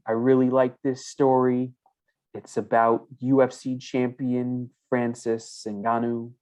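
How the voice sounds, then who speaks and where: A man's voice: mid-range voice, a little mellow, rough recording. A man hosting a crypto news show, reading stories aloud and adding chatty asides in a casual, conversational way.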